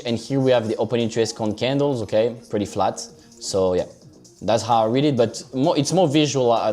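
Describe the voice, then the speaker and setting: A man's voice: mid-range voice, darkish tone. A man with a French accent giving casual live trading commentary on a stream, loose and chatty, often saying "so basically" and checking in with "okay?". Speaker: livestream crypto trader.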